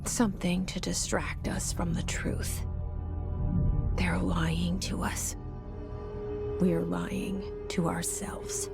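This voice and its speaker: An actress playing a guarded, haunted young woman in a video game, speaking introspective lines in a breathy, hushed, brooding way. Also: on the low side. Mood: ominous, brooding. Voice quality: breathy, hushed, often near a whisper. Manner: slow, brooding, with deliberate pauses. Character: guarded, haunted young woman narrating her private thoughts. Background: music.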